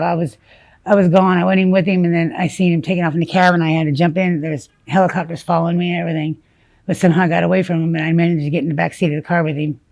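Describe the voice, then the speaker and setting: A woman from South Dakota, whose first language was Spanish, telling her story haltingly in an interview, full of fillers and restarts. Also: sits low, gravelly, darkish tone. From South Dakota, USA. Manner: halting, many fillers and restarts, blunt about grim details.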